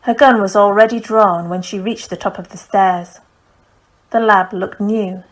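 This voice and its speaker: A woman's voice: mid-range voice, fairly even intonation, measured pace, poor audio. A woman reading a novel aloud as an audiobook narrator in a scripted storytelling style.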